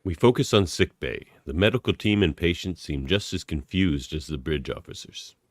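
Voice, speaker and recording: A man's voice: low voice, lively intonation, normal speed, no room sound, clean studio sound. A man reading scripted stage directions as narrator of a radio play.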